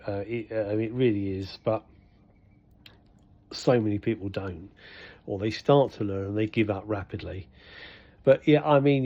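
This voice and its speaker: An older man chats his way through a vlog in a rambling style, full of ums and restarts. His voice is mellow and warm, sitting at a middling pitch. The recording sounds slightly dull and lo-fi. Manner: rambling, chatty, many fillers and restarts. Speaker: vlogger. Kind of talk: casual vlog chat.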